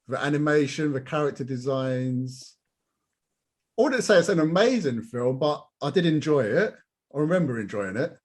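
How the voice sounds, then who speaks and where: A man chatting casually as a panelist on a podcast. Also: fairly high pitch. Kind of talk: casual chat.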